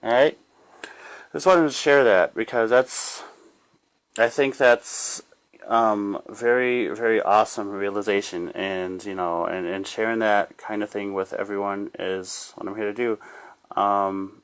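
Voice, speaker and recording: A man giving a casual, unscripted spiritual self-help monologue in a rambling, halting way, often repeating phrases and trailing off. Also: lowish voice, fairly even intonation, clean tone. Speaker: spiritual life coach sharing guidance.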